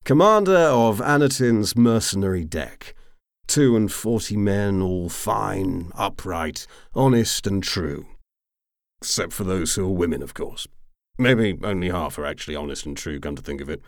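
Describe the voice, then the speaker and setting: A man's voice: low-pitched, lively intonation, measured pace, no room sound, clean studio sound, pitched above the performer's own voice. A man voicing a tipsy, roguish mercenary commander in an audiobook, drawling and rambling with boastful charm. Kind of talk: audiobook character acting.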